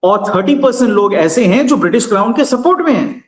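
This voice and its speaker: A man with a high voice lays out his argument like a lecture, measured and steady with small hesitations. His voice rings in a roomy, echoey space. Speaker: guest commentator. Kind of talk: explanatory political commentary.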